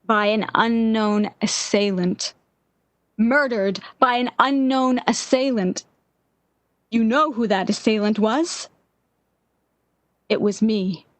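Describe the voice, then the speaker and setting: Actress as an intense, obsessive lovesick woman, unhurried. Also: on the high side, no room sound. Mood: anguished guilt. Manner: intense, theatrical, halting dramatic delivery. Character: distraught, obsessive lovesick woman convinced of deadly willpower. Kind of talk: 1940s-style radio drama acting.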